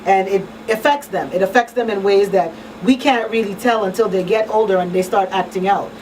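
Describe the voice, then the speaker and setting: A woman's voice: mid-range voice, fairly quick, darkish tone. Earnest woman hosting, slightly distant mic. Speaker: radio talk show host. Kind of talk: conversational advocacy talk. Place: small radio studio. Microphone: boom-arm studio microphone. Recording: distant mic, faint room noise.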